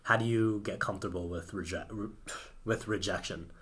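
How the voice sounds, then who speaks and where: A man's voice: low voice, fairly even intonation, normal speed, fairly bright tone. A man hosting a podcast, asking questions in a casual, informal way with some slang. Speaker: podcast host.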